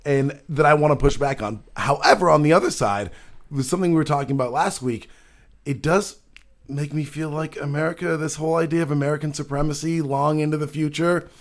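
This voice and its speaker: A man's voice: a little high, a little fast. A man hosting a casual political talk show, rambling with frequent restarts and fillers. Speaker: show host.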